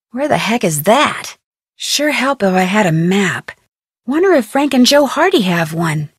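A woman's voice: mid-range voice, slightly rough, no room sound, clean studio sound. A woman voicing a plucky, curious teenage girl detective in a video game, wryly thinking aloud to herself. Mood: curious, musing. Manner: wry, curious, self-talking. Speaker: voice actor of a video game heroine.

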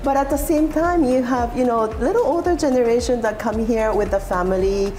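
A middle-aged woman from Japan, a hotel executive, answering interview questions in a steady, measured way. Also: fairly high pitch, soft background music. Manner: steady, measured, frequent "you know". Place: hotel lounge indoors.

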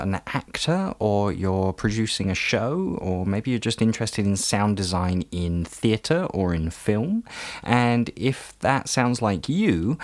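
A man with a fairly low voice narrates a software tutorial. He is casual and conversational, explaining each step as a tutor would. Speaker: audio tutor, host. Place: voice-over for a screen recording.

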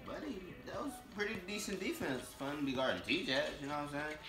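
A young man with an American accent giving casual, slangy, laid-back reaction commentary, thinking out loud. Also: a little high, expressive, a little fast. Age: young adult. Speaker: reaction video host. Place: room with leather couch and large windows, indoors. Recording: roomy echo, music behind.

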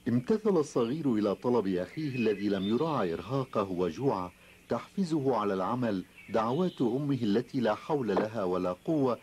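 A man's voice: medium pitch, expressive, brisk, darkish tone, slight background noise. A man narrating a scripted children's story as its narrator.

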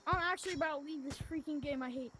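A young player bantering excitably during a game, often exclaiming. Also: female voice, high voice, rapid-fire, over music. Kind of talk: casual gaming banter.

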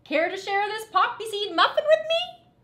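A woman's voice: extremely high pitch, very animated, moderate pace, pushed and bright, pitched above the performer's own voice, full character voice. A woman voicing a friendly, sociable storybook pigeon in a sing-song manner, in a roomy space. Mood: cheery, friendly. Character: friendly, chirpy pigeon offering to share, in a storybook.

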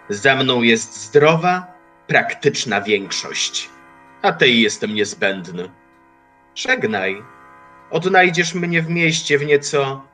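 An actor playing Time personified as a mocking, lofty old man in an audio drama, speaking slowly in an archaic manner. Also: mid-range voice, bright, forceful tone, exaggerated voice. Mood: cold. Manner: slow, measured, archaic speech.

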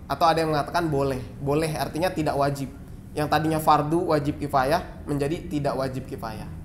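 Indonesian male teacher, slightly higher voice, patient and repetitive explanations, faint background hiss. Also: a bit bright. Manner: reads Arabic passages then explains in plain, repetitive phrasing. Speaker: Islamic jurisprudence teacher. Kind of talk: explaining and translating a classical Arabic text.

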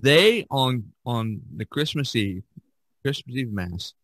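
Middle-aged to older man, American accent, bright clear-cut tone, casual host, chuckly, dry sound. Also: mid-range voice, animated, takes it slowly, no echo. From Indiana, United States. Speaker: podcast host. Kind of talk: casual podcast chat.

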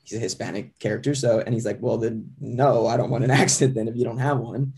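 A man's voice: medium pitch, steady tone, fast talker, darkish tone. An American man in his thirties chatting casually and telling anecdotes as an interview guest. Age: 30s. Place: room with poster, video call.

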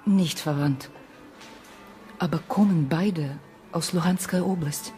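An actress playing a guarded, loyal Ukrainian woman witness who answers in short, halting phrases with a Ukrainian accent. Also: low voice, warm tone, faint music behind. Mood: earnest.

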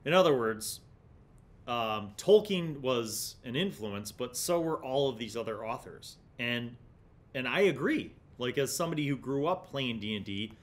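A man's voice: medium pitch, a bit bright. Middle-aged man, casual and reflective. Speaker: host of a gaming magazine review series. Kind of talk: casual commentary with passages read aloud from an article. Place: small indoor studio set with shelves. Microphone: condenser mic on the desk in front of him.

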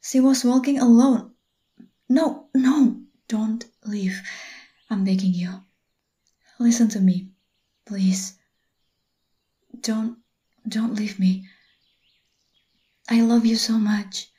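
A woman voicing a desperate, obsessive young boyfriend in a whispered ASMR roleplay, pleading and stammering in a soft, breathy whisper. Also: on the high side, slow pace, long pauses.

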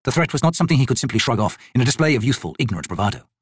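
A man's voice: mid-range voice, very animated, racing, slightly rough, no echo. A man, an actor, reading fiction aloud as dramatic scripted narration.

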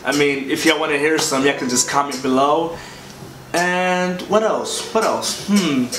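A young man from Morocco, a native Arabic speaker, co-hosting a vlog in slangy, halting casual talk. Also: a little high, noisy background. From Morocco until about ten, then US. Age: young adult. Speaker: vlogger, co-host. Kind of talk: casual chat to camera. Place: living room couch, indoors. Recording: roomy echo, music behind.

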